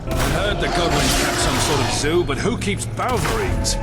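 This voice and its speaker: A man's voice: on the low side, natural voice. A man voicing a wary, scornful officer in a video game, speaking articulately and with dry sarcasm. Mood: alarmed, tense. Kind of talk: scripted video game dialogue. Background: music.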